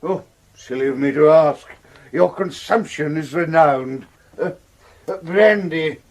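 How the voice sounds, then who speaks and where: A pompous, genial villain in a British radio play, with a British accent. His voice is high and husky, and he speaks very slowly, with halting, florid courtesy and chuckles. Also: darkish tone. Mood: amused, expansive. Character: jovial, pompous, chuckling gentleman villain, self-styled professor. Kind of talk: period radio drama acting.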